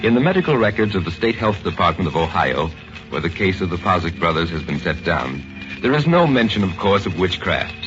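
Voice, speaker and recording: Deep slow serious radio narrator guy, music behind, muffled old sound. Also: big pitch swings. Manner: grave, deliberate. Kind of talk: scripted dramatic narration.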